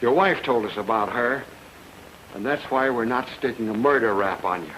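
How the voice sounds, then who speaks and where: A man's voice: medium pitch, moderate pace, fairly bright tone, a little hiss or hum, lightly acted, low-quality recording. Man acting curt, hard-boiled police chief. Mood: dry, skeptical. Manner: curt, dry. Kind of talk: 1940s radio noir acting. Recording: old radio drama, music behind.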